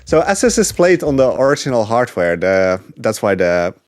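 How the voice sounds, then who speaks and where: A man commentating on a speedrun from his couch at home, chatty and relaxed with lots of fillers. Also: mid-range voice, moderate pace, faint music behind. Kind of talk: casual gameplay commentary. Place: home living room couch.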